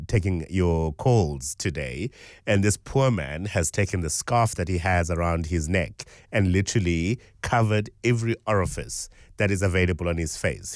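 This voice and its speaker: A man hosting a morning radio show, chatty and joking, his voice slightly croaky from a cold. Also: low voice, no echo, clean studio sound. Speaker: radio breakfast show host. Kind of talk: casual morning radio chat.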